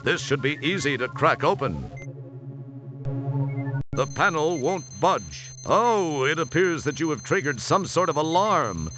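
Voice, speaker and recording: A man voices a wry adventure game narrator reading scripted descriptions with mock drama. The voice is a little high, over clearly audible music. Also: sing-song, measured pace. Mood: mock-dramatic.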